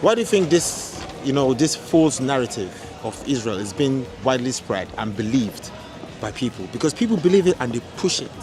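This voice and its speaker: A man interviewing someone outdoors, curious and quick to agree. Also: medium pitch, big pitch swings. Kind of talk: street interview.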